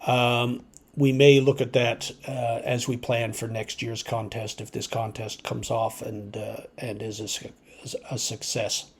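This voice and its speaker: A man's voice: mid-range voice, level tone, gravelly, husky. Male hobbyist video host, mid-range voice, informal explainer delivery, hesitant and unscripted. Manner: hesitant, halting, thinking aloud. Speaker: amateur radio video host.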